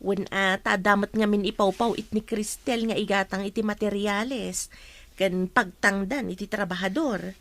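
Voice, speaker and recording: A woman's voice: medium pitch, fairly even intonation, normal speed, a bit bright, lightly acted. A woman playing an earnest, pious young woman with an Ilocano accent in a radio drama. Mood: earnest, defensive.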